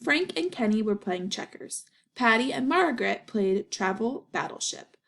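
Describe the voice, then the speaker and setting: A woman's voice: fairly high pitch, lively intonation, normal speed, creaky, fairly bright tone. A woman reading a children's story aloud as narration, with little asides to the listeners.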